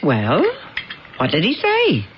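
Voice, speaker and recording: An actress playing a sharp-tongued, nagging wife in an early eighties radio drama, biting and impatient. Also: female voice, deep voice, wildly swooping pitch, slow, exaggerated voice. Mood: nagging, sarcastic. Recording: muffled, noisy old radio broadcast.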